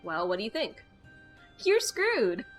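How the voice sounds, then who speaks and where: A woman's voice: medium pitch, wildly swooping pitch, slow, faint music behind. A woman voicing a smug, teasing young female coworker in a visual novel, coy and mocking.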